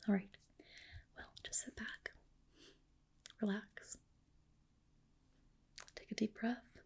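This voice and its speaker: Woman, ASMR whisper, very breathy, crackly fry, gentle and reassuring, soft clinical roleplay. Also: medium pitch. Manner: gentle, polite, reassuring professional. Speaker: ASMR host roleplaying a dermatologist.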